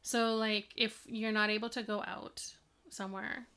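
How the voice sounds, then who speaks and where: Chatty mom co-host giving tips. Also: female voice, mid-range voice, level tone, measured pace, smooth. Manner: rambling, warm advice with fillers. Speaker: podcast co-host, parent. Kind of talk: casual chat, giving tips.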